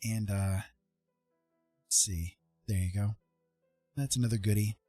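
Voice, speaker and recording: A man's voice: fairly low pitch, fairly even intonation, a little slow, warm tone, no echo. Appreciative male livestream host over music. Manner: appreciative, effusive with thanks. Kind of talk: casual chat with livestream viewers. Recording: music playing behind the voice.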